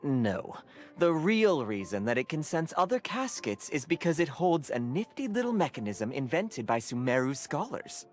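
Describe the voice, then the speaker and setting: A man's voice: a little high, sing-song, normal speed. A man voicing a genial, enthusiastic, slightly flustered card-game official in a video game, wordy and formal, over music. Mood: explaining, awkward. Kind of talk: animated game voice acting. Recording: background music.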